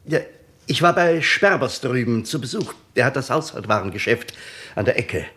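Anxious, halting husband. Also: male voice, medium pitch, animated, pushed and bright. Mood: nervous explaining. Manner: halting, stammering, defensive. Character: anxious, evasive husband, a small-town supermarket owner. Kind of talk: radio crime play acting.

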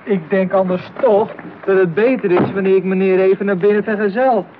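A man's voice: high-pitched, steady tone, fairly quick, natural voice. An actor in a radio play playing an officious delivery man, polite but insistent. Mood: polite insistence. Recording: dull, noisy old radio play recording.

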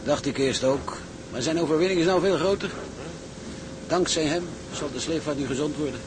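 An actor playing a gruff, loyal, irreverent tugboat seaman in a Dutch radio play, blunt and plain-spoken. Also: on the high side, normal speed, noisy background, natural voice. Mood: admiring. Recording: loud engine-like ambience behind voice.

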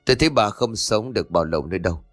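A man voicing a grim exorcist who gravely foretells death. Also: fairly low pitch, expressive, rapid-fire, fairly bright tone, dry recording, soft background music. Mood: ominous. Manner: grave, ominous.

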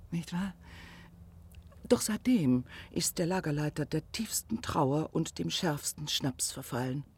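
An actress playing a pushy, lovelorn provincial woman organiser in a radio drama, effusive, rambling and melodramatic, with a husky, breathy voice. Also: lowish voice, darkish tone. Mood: mournful gossip.

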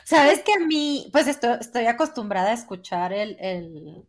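A woman from southern Mexico with a southern Mexican Spanish accent, co-hosting a casual podcast chat in a relaxed, hesitant way. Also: a little high, moderate pace. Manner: relaxed, hesitant, restarts phrases. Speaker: podcast co-host.

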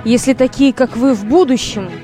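A distrustful young woman of wartime played by an actress in a radio play. Her high voice is bitter and reproachful, with music clearly underneath. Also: moderate pace, lightly acted. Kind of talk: Russian audio drama acting.

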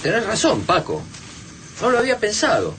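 A man's voice: high voice, wildly swooping pitch, normal speed, fairly bright tone, exaggerated voice. A man playing a thoughtful, reasoning survivor in a radio play, with an Argentine accent. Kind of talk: radio drama acting. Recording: radio drama with clear background noise.

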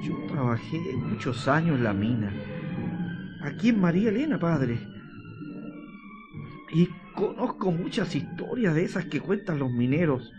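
A man's voice: a little high, big pitch swings, measured pace, long pauses, lo-fi sound, over music. A man with a Chilean accent playing a distraught, fearful small-town father in a radio drama, earnest and stammering. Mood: shaken.

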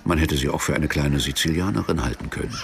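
A man narrating a literary radio drama in a measured, deadpan manner. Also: rumbling low, creaky, slight background noise. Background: music behind the voice.

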